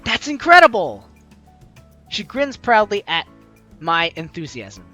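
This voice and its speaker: A woman hosts a casual let's play, reading game text aloud with playful, slangy asides. Her voice is bright and very animated at a medium pitch, with faint music behind it. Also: a little slow.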